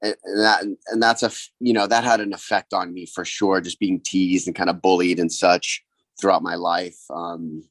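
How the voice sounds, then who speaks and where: Man from Hawaii, podcast guest, hesitant and reflective, low voice, dry sound. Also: little pitch movement, moderate pace, a bit bright, no room sound. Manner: hesitant, reflective, many ums and restarts. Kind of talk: casual interview.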